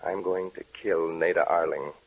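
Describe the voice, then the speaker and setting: Grave, deep-voiced, obsessed radio-play scientist. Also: male voice, steady tone, moderate pace. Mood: coldly determined. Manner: grave, melodramatic, intense delivery. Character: brooding, obsessed male scientist plotting to kill his beloved. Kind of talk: old-time radio drama acting. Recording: muffled old radio recording.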